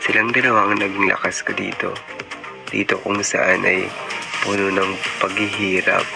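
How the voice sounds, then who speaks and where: A man's voice: low-pitched, little pitch movement, normal speed, creaky. A young Filipino man playing an earnest college student who narrates an amateur motion comic. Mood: reflective. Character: earnest male college student dreaming of fashion design. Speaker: narrator and lead character. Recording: loud music over the voice.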